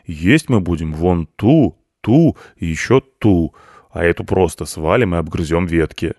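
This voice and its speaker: Guy doing a stern pompous beaver boss from a storybook, low voice, very dry sound. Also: animated, unhurried, darkish tone, no room sound, heavily acted. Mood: stern, dignified. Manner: pompous, bureaucratic, grandiose. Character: pompous, stern, dignified beaver work foreman. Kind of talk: animal storybook character voice.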